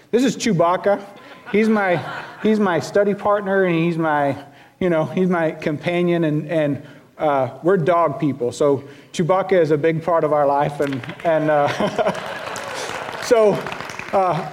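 A middle-aged man with a Southern US accent preaches from the pulpit, his voice sitting a little high for a man. He talks in a folksy, self-deprecating way, often restarting his sentences as he goes. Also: darkish tone. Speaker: pastor. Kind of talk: sermon.

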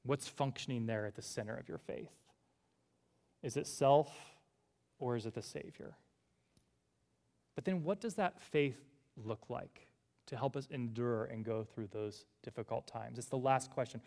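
A man's voice: mid-range voice, a little slow, vocal fry, long pauses, a little mellow. A male pastor preaching a sermon to a congregation in a church room, earnest and building through repeated phrases.

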